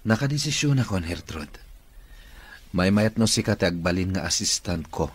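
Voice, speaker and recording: Ilocano radio drama: commanding male boss, very low register, firm and authoritative. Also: lively intonation, normal speed. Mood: commanding, resolved.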